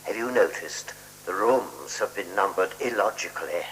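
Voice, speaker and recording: A man's voice: sits low, moderate pace, a bit bright. A man playing a demanding, suspicious, anxious hotel guest in a 1970s radio play, speaking pompously and insistently. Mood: suspicious, complaining. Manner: pompous, insistent, repeats himself. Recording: noisy, humming 1970s radio recording.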